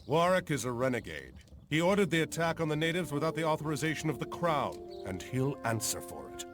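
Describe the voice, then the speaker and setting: Earnest army colonel, highish voice that moves around a lot, game cutscene. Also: male voice, sing-song, a little fast. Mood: stern. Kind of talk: dramatic video game cutscene acting. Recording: music behind the voice.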